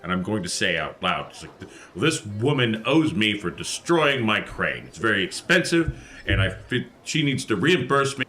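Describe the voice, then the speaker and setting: A man playing a tabletop role-playing game, haltingly thinking aloud as he slips in and out of character. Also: fairly low pitch, very animated, sharp tone. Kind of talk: casual in-character role-play and table talk.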